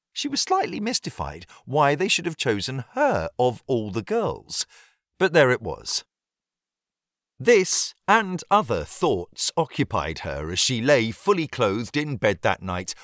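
British male audiobook reader, mid pitch, very varied melody, drily witty, measured storytelling. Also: a bit bright, no echo, clean studio sound. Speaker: audiobook narrator. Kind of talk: scripted narration of a period novel.

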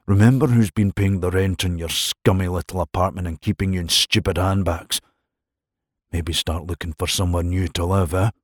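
A cruel middle-aged man, voiced by the narrator, talks down to his lover with smug, sneering contempt. His voice sits low, quiet and dry, close to the microphone. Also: fairly even intonation, normal speed, no echo. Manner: sneering, condescending.

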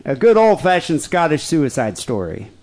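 A man's voice: fairly high pitch, big pitch swings, normal speed, a little mellow. A man co-hosting a podcast in casual comedic chat, with sarcastic, mock-serious joking. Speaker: podcast co-host.

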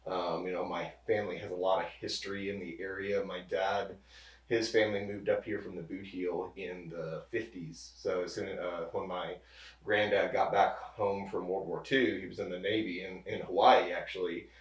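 A man in his thirties speaks as an interview guest in a low voice, loose and rambling, circling back and restarting his sentences. He sits at a distance from the mic, and the room gives his voice a noticeable echo. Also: normal speed. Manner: relaxed, rambling with many restarts. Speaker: pharmacist guest. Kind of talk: casual interview.

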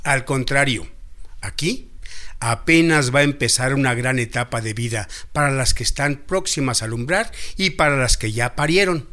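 Actor como doctor mexicano didáctico, voz brillante. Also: male voice, mid-range voice, normal speed, bright, forceful tone, close to an everyday voice. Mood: encouraging. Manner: didactic, orderly. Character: patient, authoritative male village doctor giving health talks. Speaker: actor in a public health radio drama. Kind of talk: radio drama acting.

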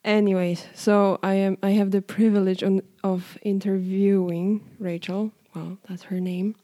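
A woman's voice: medium pitch, little pitch movement, a little slow, warm tone. Playful young woman with Polish accent. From Poland. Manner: playful, teasing, laughs often. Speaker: guest interviewer. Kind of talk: casual chat.

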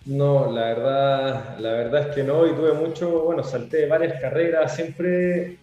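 A man's voice: mid-range voice, a little slow, heavy reverb, highs cut off, faint music behind. A man in his thirties, originally from Venezuela and now speaking with a Chilean accent, talking casually as a guest entrepreneur in an interview, rambling and restarting his sentences. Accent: Chilean Spanish. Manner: relaxed, rambling, many restarts and filler words.

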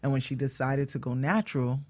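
A woman's voice: rumbling low, fairly quick, darkish tone. A woman talking casually and explaining things as a hair stylist guest, conversational and emphatic.

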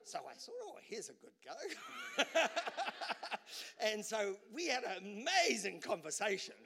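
High, bright-voiced animated male preacher. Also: a little slow, creaky. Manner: animated, swings between loud bursts and low asides; repeats words when pushing a point. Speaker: pastor. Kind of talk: sermon.

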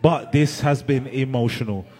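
A man's voice: mid-range voice, normal speed, a little mellow. A man host, slurring after drinking, apologetically announcing that the event runs on.

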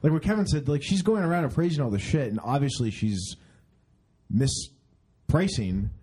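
A man co-hosting a podcast in casual chat, chuckling and quick to joke. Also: a little high, expressive, mellow, husky.

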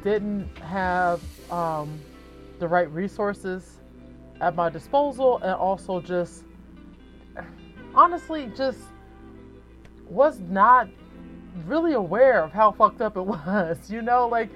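A woman in her thirties with a deep voice, hosting her own podcast in a frank, casual monologue full of swearing and rhetorical asides. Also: lively intonation, takes it slowly, pauses often, a bit bright, faint music behind. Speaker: podcast host.

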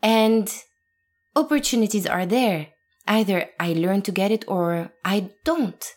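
A woman's voice: medium pitch, measured pace, a little mellow. A woman in her thirties from Lebanon, an actress talking earnestly and emphatically as an interview guest. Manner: earnest, emphatic, laughs now and then. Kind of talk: reflective interview talk.

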